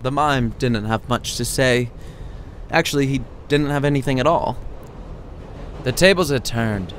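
A man's voice: mid-range voice, unhurried, a bit bright, slight background noise. A man reading his own short story as scripted narration, with a dramatic, playful storytelling delivery. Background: music under the voice.

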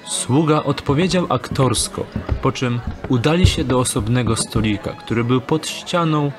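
A man's voice: mid-range voice, normal speed, over music. A man narrating a fantasy audio drama from a script as storyteller.

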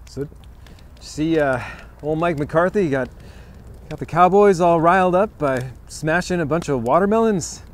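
A man's voice: on the high side, animated, unhurried, slight background noise. A man in his thirties co-hosting a comedy sports show outdoors, stammering sheepishly in sketches and bouncing into presenter patter. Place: outdoors in snow beside a stadium.

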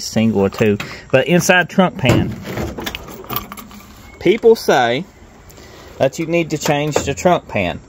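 Middle-aged man, medium pitch, plain folksy talker doing a casual how-to vlog outdoors, faint background noise. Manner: plain-spoken, folksy nonstandard grammar. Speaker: car restoration vlogger. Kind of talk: casual hands-on walkthrough. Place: outdoors in a grassy gravel yard. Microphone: handheld phone at arm's length.

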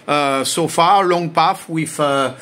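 Bright-voiced man. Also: on the high side, takes it slowly. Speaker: amateur radio operator. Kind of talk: casual hobby demonstration chat. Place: indoor home radio workbench.

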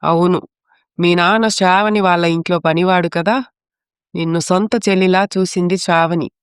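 Woman doing older mom-in-law, chiding but caring, smooth clear voice, family story, dry. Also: lowish voice, steady tone, normal speed, no echo, lightly acted. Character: elderly mother-in-law, caring but reproachful.

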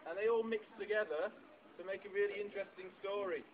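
A man's voice: high-pitched, a little fast, very noisy. A man presenting to children, explaining simply and encouraging them with questions. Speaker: publisher's presenter introducing a show. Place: public book festival event with children. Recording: tinny lo-fi phone video, loud crowd.

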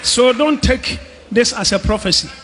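Animated Ghanaian pastor, high voice. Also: male voice, moderate pace, a faint crowd, a little hiss or hum. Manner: animated, joking, shouting at times. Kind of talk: preaching from a church stage, mixing Twi and English. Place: large indoor church hall. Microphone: handheld microphone.

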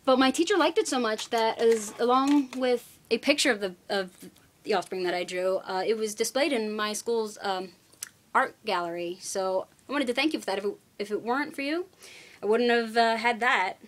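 A woman's voice: fairly high pitch, moderate pace. A young woman recording an earnest, rambling fan message on a webcam at home, with many fillers. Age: young adult. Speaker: fan sending birthday wishes. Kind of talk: casual fan video message.

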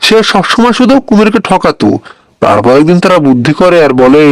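A man's voice: high voice, sing-song, a little fast, no room sound. A man narrating a scripted children's folk tale as a storyteller.

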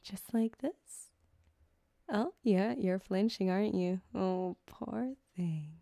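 Woman playing a flirty sadistic surgeon, slow and breathy. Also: mid-range voice, dark tone. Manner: coaxing, teasing, breathy, drifting into whispers. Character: flirty, sadistic yandere cyberpunk back-alley surgeon woman. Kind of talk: ASMR roleplay, intimate one-sided scene. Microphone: close binaural microphone.

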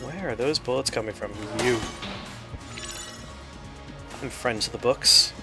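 Male gaming host, average pitch, casual and playful, self-mocking delivery over prominent music. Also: measured pace. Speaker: show host, gamer. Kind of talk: casual let's-play commentary. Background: music and game gunfire.

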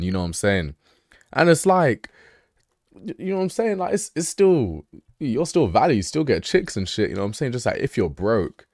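Low-voiced male streamer, roasting a clip with profane jokes, dry sound. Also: no room sound. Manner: loud, mocking, profane banter. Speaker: streamer reacting to a video. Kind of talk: casual live-stream commentary.

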